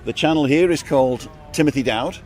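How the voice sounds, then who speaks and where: A man's voice: on the high side, brisk, a little mellow. A man from England hosting a live street vlog, chatting cheerfully with passers-by and echoing their answers. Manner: chatty and upbeat, greets strangers and repeats their answers. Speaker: live-streaming vlogger stopping passers-by. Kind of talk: casual street interviews on a livestream. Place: outdoors on a seafront street at dusk.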